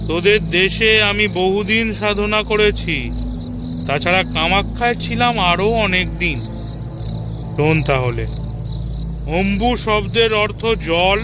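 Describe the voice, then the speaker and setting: An actor playing a solemn old tantric ascetic who teaches patiently, in a measured audio-drama style. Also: male voice, high-pitched, expressive. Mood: solemn, instructive. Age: older. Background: music.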